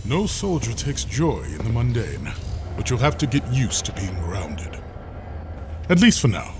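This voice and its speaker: A man's voice: medium pitch, wildly swooping pitch, a little slow, noisy background. A man playing a wry, urbane, authoritative senior admiral in a science fiction audio drama. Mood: matter-of-fact.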